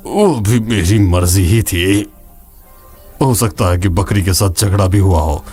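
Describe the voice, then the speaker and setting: Man acting as a low-voiced, world-weary mystic mentor. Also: a little slow, dark tone, husky. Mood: wry, brooding. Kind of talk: dubbed fantasy game film dialogue. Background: dramatic background music.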